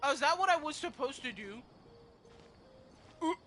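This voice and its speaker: Animated woman streamer talking over game music. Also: sits high, moderate pace. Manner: joking, rambling, slangy. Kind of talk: casual playthrough commentary.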